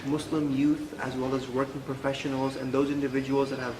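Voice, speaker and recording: A young man raised in the United States, answering interview questions in a measured, conversational way with frequent fillers. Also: mid-range voice, level tone. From Pakistan, raised in the United States. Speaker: interview guest, Quran instructor. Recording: echoey room with clear background noise.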